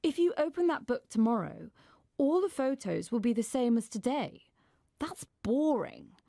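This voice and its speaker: A woman's voice: a little high, big pitch swings, moderate pace, gravelly, dark tone, no room sound, clean studio sound, heavily acted. A woman voicing a confident, pushy, tech-loving young girl in a children's storybook, bossy and showing off. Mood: dismissive.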